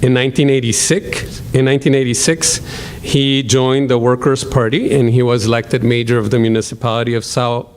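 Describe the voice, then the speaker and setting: Hesitant Salvadoran-accented professor. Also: male voice, medium pitch, little pitch movement, fairly quick, slight background noise. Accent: Salvadoran Spanish. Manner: hesitant, many ums and restarts. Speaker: professor introducing a speaker. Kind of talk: lecture hall introduction.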